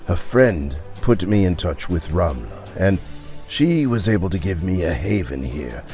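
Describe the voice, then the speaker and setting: A man voicing a tall, half-ghost former scientist who speaks in a measured, courteous and reflective way, as in an audio story. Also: sits low, a little mellow, voice pushed down.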